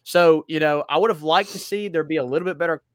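A man with a slightly high voice, nasal and congested from a cold, chatting loosely about football. He rambles, restarts his sentences and thinks out loud. The sound is close and dry. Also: quick pace, no echo. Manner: rambling, self-correcting, thinking aloud. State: stuffy from a cold. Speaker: podcast co-host. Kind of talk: casual sports talk.

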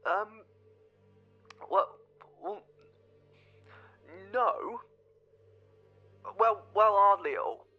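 An actor playing an affectionate, proud partner in an audio drama, gently coaxing and evasive. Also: male voice, high voice, animated, drawn-out words, long pauses, dull audio, faint music behind, exaggerated voice. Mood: soothing, coaxing.

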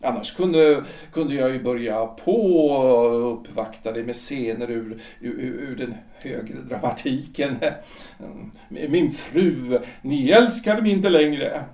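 A man's voice: medium pitch, hardly any pauses, darkish tone, natural voice. A man acting an anxious, insistent middle-aged husband in a period audio drama, hesitant and repeating his words. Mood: bitterly joking. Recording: roomy echo, distant from mic.

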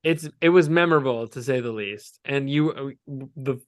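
A man in his forties hosts a casual podcast, teasing his co-host and rambling through long asides, quick to laugh. His voice is mid-pitched and sounds close and dry, with no room around it. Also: no room sound. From Canada. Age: 40s. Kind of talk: casual chat.

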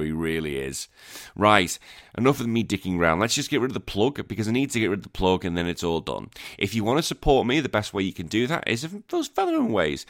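A man in his thirties from England, hosting a podcast in a rambling, self-deprecating chat, sounding croaky from a cold. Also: sits low, fairly bright tone, no echo, studio quality. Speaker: podcast host. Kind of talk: casual chat.

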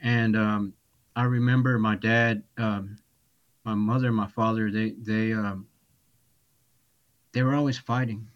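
A man from Texas telling his life story as a podcast guest over a video call, speaking haltingly with many restarts. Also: fairly low pitch, flat delivery, dull audio. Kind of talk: personal testimony.

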